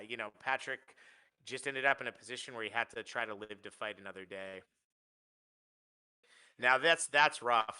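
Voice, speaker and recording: A man's voice: mid-range voice, sharp tone, clean tone. A man giving live play-by-play commentary on a card game tournament, analytical and often restarting his phrases.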